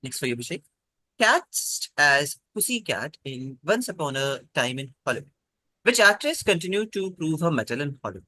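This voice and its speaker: A man's voice: a little high, sharp tone, dry recording, low-quality recording. A man hosting a quiz, reading out prepared questions to contestants.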